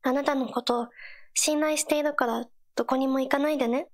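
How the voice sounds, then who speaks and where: A woman plays an earnest teenage girl companion from a mobile game. The voice sits high and stays gentle. Short scripted lines come out supportive and encouraging. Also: steady tone, a little fast, lightly acted. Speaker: game character voice actor.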